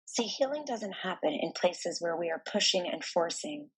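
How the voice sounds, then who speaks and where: A woman narrating a guided meditation in a soft, soothing, deliberate manner with pauses between phrases. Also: fairly low pitch, breathy edge, low-quality recording.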